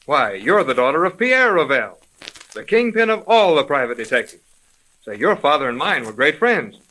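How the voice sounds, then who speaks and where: A man's voice: fairly high pitch, very animated, normal speed, bright, forceful tone, full character voice, rough recording. An actor playing a cocky, eager young man with a brash, wisecracking manner, in 1930s radio drama style. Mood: surprised. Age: young adult.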